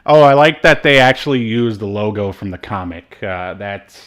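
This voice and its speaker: A man co-hosting a casual film discussion, talking in a halting, rambling way. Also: on the low side, expressive, a bit bright. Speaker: podcast co-host reviewing films.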